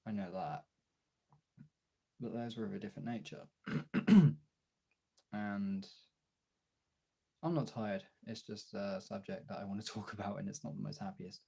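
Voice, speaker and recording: A young man with a husky voice chatting casually and informally as a livestreamer, replying to his viewers as he goes. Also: on the low side, unhurried, long pauses, dark tone. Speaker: streamer and video creator.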